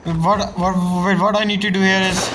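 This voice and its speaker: A man's voice: high-pitched, flat delivery, moderate pace, noisy background. A man streaming a video game with casual commentary, thinking aloud in a puzzled way.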